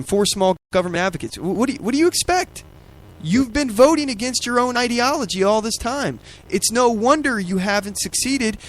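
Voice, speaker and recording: A man's voice: high-pitched, low-quality recording. A man hosting a political talk show, giving ad-libbed commentary with emphatic rhetorical questions.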